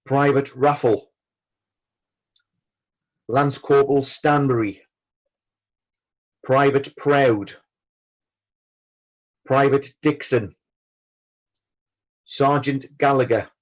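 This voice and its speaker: Man doing a solemn roll call, really slow, stops after each one, kinda dull muffled recording. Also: mid-range voice, steady tone. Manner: measured, formal, pausing after each name. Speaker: veterans' branch chairman reading a roll call. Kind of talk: solemn memorial roll call, read out name by name.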